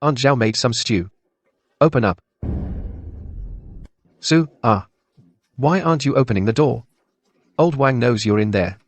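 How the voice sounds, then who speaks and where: Horror comic neighbor, acted by a man: false friendliness, creepy coaxing, medium pitch, dry sound. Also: a little slow, long pauses, no echo. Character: sinister neighbor man feigning friendliness at the door. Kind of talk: motion comic horror dub.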